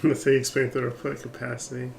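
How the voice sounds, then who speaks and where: Very low-voiced woman streaming a game. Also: level tone, fast, creaky, a little mellow, slight background noise. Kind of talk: casual playthrough commentary.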